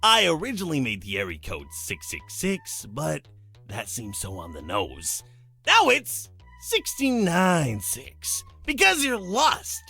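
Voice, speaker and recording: A flamboyant demon guide for a visual novel game, cocky and bawdy. The voice swoops theatrically and has a bright, cutting edge, with music playing underneath. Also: mid-range voice, huge pitch swings, takes it slowly, slightly rough, heavily acted. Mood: smug, playful. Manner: showy, self-satisfied, swooping delivery.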